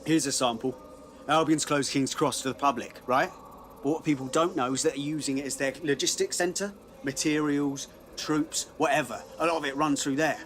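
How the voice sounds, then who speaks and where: A man's voice: fairly high pitch, fairly even intonation, normal speed, fairly bright tone, a little hiss or hum, close to an everyday voice. A British man playing a streetwise, defiant activist informant in a video game, clipped and informal. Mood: conspiratorial. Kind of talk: video game cutscene acting.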